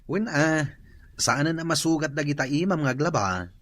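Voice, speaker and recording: A man's voice: a little high, lively intonation, normal speed, fairly bright tone. A man acting an easygoing village husband in an Ilocano radio comedy drama, with an Ilocano accent. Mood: matter-of-fact.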